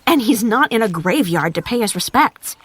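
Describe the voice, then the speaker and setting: A woman's voice: fairly high pitch, animated, moderate pace, slightly rough. An actress playing a curious, plucky young woman in an audio drama, eager and inquisitive. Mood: determined.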